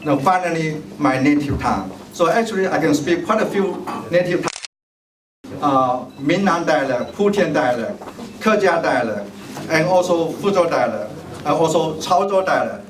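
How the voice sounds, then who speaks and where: Middle-aged Chinese-native man, formal ceremonial speech, slightly high voice, distant mic in a roomy hall with noise. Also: lively intonation, moderate pace. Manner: formal, ceremonial, thanks many guests by name. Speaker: mayoral candidate giving a speech. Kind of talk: bilingual public speech, switching English and Chinese. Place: large hall at a tea party event. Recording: echoey hall, distant mic, noisy ambience.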